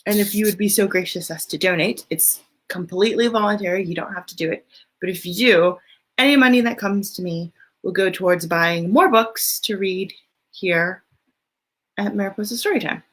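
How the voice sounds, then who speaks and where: A woman hosting a children's story time, reading aloud and chatting informally, often thinking out loud as she goes. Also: mid-range voice, normal speed. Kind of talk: reading a picture book aloud, then casual chat to children.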